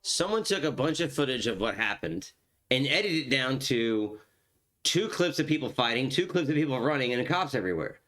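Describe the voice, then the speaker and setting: A man's voice: medium pitch, big pitch swings, a little fast, fairly bright tone. Incredulous, swearing male podcast host. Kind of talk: casual chat.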